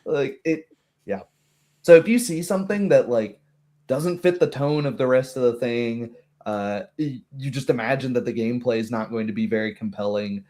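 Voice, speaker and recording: A man talks casually as a game master, in a conversational and animated way. He slips into quoted asides as he explains his thinking about a tabletop game. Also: medium pitch, moderate pace.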